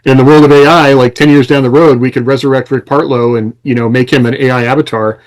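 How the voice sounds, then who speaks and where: A man's voice: mid-range voice, fairly even intonation, quick pace, rough recording. An American man talking casually as a podcast host, explaining things conversationally with examples and quoted lines.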